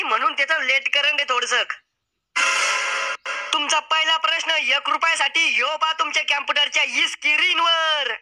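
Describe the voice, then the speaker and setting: A man's voice: extremely high pitch, sharp tone, exaggerated voice, rough recording. A man voicing a sarcastic mock game show host in a cartoon, announcing in a mock-formal tone. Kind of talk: cartoon comedy acting.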